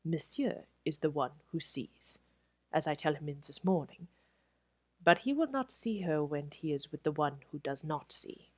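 A woman's voice: low voice, sing-song, measured pace, darkish tone, husky, dry recording, heavily acted. A woman voicing a stern, menacing French head waiter who speaks broken English with a French accent. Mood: hushed. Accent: French, put on.

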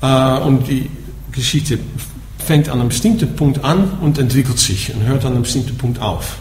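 A man's voice: mid-range voice, normal speed, darkish tone. A man from the Netherlands with a Dutch accent, teaching Buddhism in German, explaining patiently and checking in with "ja?" after each point. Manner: explaining, asks "ja?" after nearly every point. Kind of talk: dharma talk to a group. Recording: echoey room with faint background noise.

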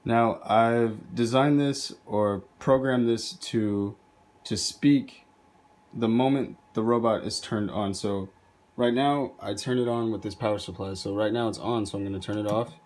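A man's voice: fairly low pitch, measured pace, a little mellow. A man hosting a video, casually explaining his hobby robot project as he films indoors. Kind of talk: casual narrated project demo.

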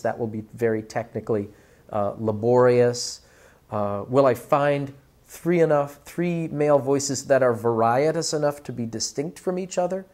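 Male director, 40s, medium pitch, deliberate halting phrasing, reflective interview delivery. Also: darkish tone. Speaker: audiobook director. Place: small office, indoors. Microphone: lapel mic.

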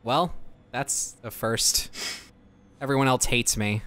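A man's voice: mid-range voice, slow, fairly bright tone. A man improvising a game dub as a cheeky, needy young lab assistant, casual and goofy. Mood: chummy. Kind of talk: improvised comedic game fandub.

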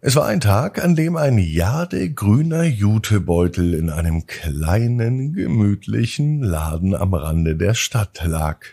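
A man hosting a children's bedtime podcast, narrating in a gentle, drawn-out storytelling manner. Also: lowish voice, lively intonation, vocal fry, husky.

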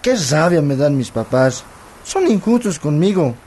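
An angry Bolivian schoolboy acted by a young man in a radio drama, resentful and reproachful. His voice is fairly high for a male, set in clearly noisy ambience. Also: sing-song, a little slow, a little mellow. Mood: bitter, worried. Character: angry, resentful schoolboy son of drinking parents.

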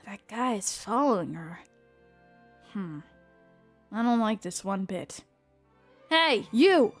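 A woman playing a helpful, spirited young female pirate detective in an audio drama, with a breezy, expressive delivery. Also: mid-range voice, slow, no echo. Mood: indignant. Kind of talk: fan audio drama acting. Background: music.

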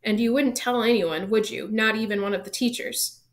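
A woman's voice: fairly high pitch, steady tone, normal speed, close to an everyday voice. A woman reading the part of a curious, anxious young schoolboy with a plain, questioning manner in a storybook. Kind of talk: audiobook character reading.

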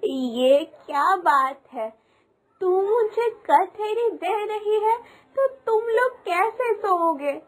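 A woman's voice: extremely high pitch, expressive, heavily acted. A woman voicing a frail, needy old village woman in a cartoon, pleading and grateful in her manner. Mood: surprised. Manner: pleading, slow, plaintive. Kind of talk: Hindi cartoon moral story acting. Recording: music behind the voice.

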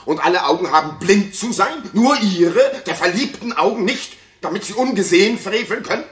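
A man's voice: sits high, animated, moderate pace, a bit bright. An actor playing a jealous, paranoid king in a 1960s German radio play, brooding and theatrical, moving between muttering and outbursts. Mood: jealous fury.

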